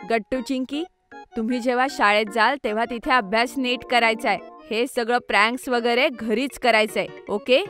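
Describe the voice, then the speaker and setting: Kids' cartoon mum, woman actor: fairly high voice, kind, reassuring, gently firm, music underneath. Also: steady tone, normal speed, close to an everyday voice. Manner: reassuring, instructive. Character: kindly, gently firm mother of two young children. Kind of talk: children's cartoon voice acting.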